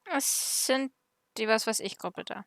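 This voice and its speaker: A woman's voice: a little high, unhurried, fairly bright tone, no echo, studio quality. A woman streamer giving casual let's-play commentary on a video game.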